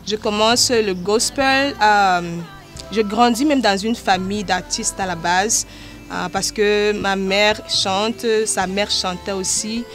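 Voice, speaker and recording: A woman's voice: medium pitch, fairly even intonation, takes it slowly, fairly bright tone, faint music behind. A woman with a Cameroonian accent speaking as an interviewed gospel artist, in an earnest, devout manner. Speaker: gospel artist and choir director being interviewed. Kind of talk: interview talk about life and music.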